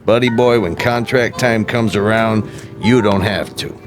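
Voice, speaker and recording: An actor playing a gruff American owner of a losing ball club in a radio drama, blunt and sarcastic. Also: male voice, on the low side, steady tone, unhurried, over music, close to an everyday voice. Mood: scornful.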